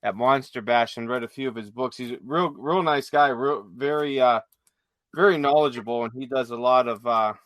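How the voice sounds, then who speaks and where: A man chatting casually as a podcast host, halting and peppering his talk with filler phrases. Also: mid-range voice, fairly bright tone, no echo. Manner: halting, peppered with you know. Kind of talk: casual chat.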